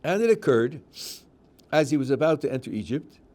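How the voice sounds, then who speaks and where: New rabbi explaining scripture. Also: male voice, on the high side, big pitch swings, a little fast, darkish tone. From New England. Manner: reads verses aloud, then explains them plainly. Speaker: rabbi teaching a student. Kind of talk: one-on-one text study.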